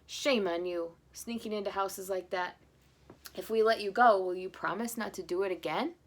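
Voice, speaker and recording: A befuddled storybook daddy bear, acted by a woman, pitched a little above plain narration. He is earnest and excitable, muddled yet bold. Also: normal speed, lightly acted. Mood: indignant. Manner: puzzled self-talk, sudden bold exclamations.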